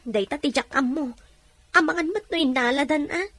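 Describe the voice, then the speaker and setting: A woman's voice: high voice, moderate pace, fairly bright tone, dry recording. A woman playing a worried, caring young female friend in an audio drama, earnest and anxious.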